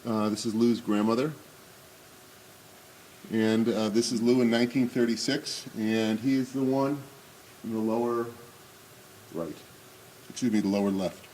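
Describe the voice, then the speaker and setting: A man in his sixties giving a remembrance presentation at a synagogue, showing slides and reading memoir excerpts aloud, with frequent pauses and fillers. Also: fairly low pitch, fairly even intonation, darkish tone, a little hiss or hum, rough recording.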